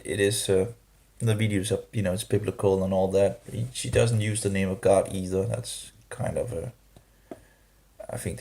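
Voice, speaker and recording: A man talking through a rambling casual vlog, halting and full of restarts, with grumbling disapproving asides. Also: low-pitched, vocal fry, darkish tone. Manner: hesitant, halting, many restarts and fillers. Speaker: vlogger.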